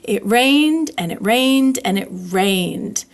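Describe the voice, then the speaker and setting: A woman reading a children's storybook aloud as a narrator, with expressive, deliberate phrasing. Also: medium pitch. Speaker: library story reader.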